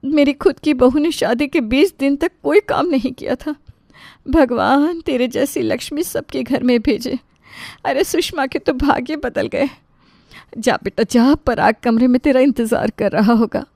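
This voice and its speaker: A woman voicing a doting, easily impressed middle-aged in-law in an animated family story, gushing with praise. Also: fairly high pitch, no room sound, clean studio sound. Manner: gushing, exclamatory, affectionate.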